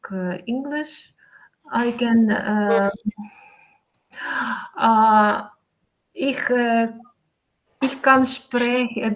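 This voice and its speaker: A woman with a Ukrainian accent speaking English as a learner, pausing often with "uh". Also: a little high, poor audio. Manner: hesitant, many "uh" pauses. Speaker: course participant. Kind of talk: unscripted conversation practice.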